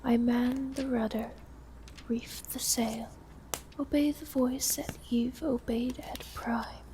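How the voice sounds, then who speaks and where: A woman playing an elegant, lonely vampire countess in an ASMR roleplay, with a soft, breathy whisper and a formal, genteel manner. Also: fairly high pitch, steady tone, slow, rough recording. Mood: hushed, reciting. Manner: formal, genteel, old-fashioned phrasing. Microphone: close binaural microphone. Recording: close binaural whisper with audible hiss.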